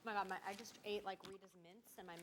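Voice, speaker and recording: A woman reporter talking off air, informally and haltingly. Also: medium pitch, steady tone. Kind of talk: off-air chat and halting rehearsal. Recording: faint noise and clicks.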